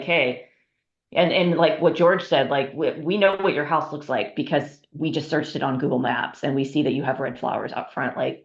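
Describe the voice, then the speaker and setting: A woman taking part in a group discussion, casually offering feedback and thinking aloud. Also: deep voice. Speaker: participant giving script feedback. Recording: echoey, dull call audio.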